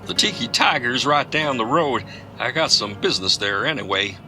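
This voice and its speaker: An actor playing a world-weary Kentucky trucker in an audio drama, telling stories in a rustic, profane drawl. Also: male voice, mid-range voice, sing-song, normal speed, over loud music, lightly acted. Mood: somber. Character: folksy Kentucky trucker, world-weary man haunted by his past.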